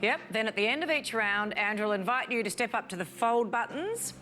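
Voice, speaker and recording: A woman's voice: fairly high pitch, expressive, a little fast, bright, forceful tone, soft background music. An Australian woman co-hosting a game show in a breezy, chatty way.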